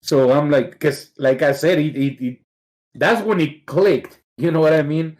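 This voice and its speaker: A man's voice: on the high side, a little slow, a little mellow. A middle-aged man from Mexico with a Mexican accent, guesting on a podcast and telling stories casually, swearing freely and laughing easily. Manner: laid-back, swears constantly, laughs easily. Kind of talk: casual storytelling interview.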